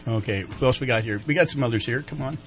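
A man's voice: mid-range voice, fast talker, soft background music. A man hosting a morning radio show in a crowded studio, chatting casually and laughing often as he coaxes guests to the mic. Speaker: radio host.

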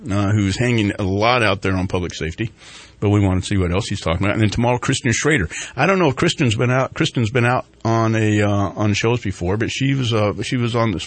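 A man's voice: on the low side, no room sound. A man hosting a local morning radio talk show, chatting casually with lots of fillers and restarts. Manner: rambling, with many fillers and restarts. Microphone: studio microphone.

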